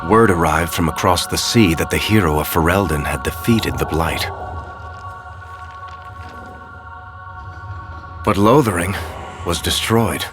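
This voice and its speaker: Man acting a deep-voiced wry roguish storyteller. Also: close to an everyday voice. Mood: measured, knowing. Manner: wry, knowing, measured with dramatic pauses. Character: roguish dwarf storyteller, wry companion of a hero. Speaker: narrator. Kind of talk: dramatic scripted storytelling narration. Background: music.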